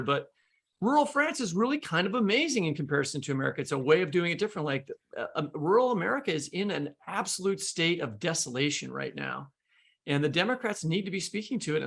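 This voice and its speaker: Blunt man with a creaky voice. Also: a little high, fairly bright tone. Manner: blunt, pressing, opinionated. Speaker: podcast co-host. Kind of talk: casual discussion.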